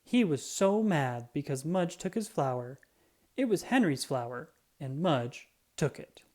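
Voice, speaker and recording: Animated children's storybook narrator. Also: medium pitch. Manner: expressive, deliberate storytelling. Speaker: storybook reader. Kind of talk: children's read-aloud narration.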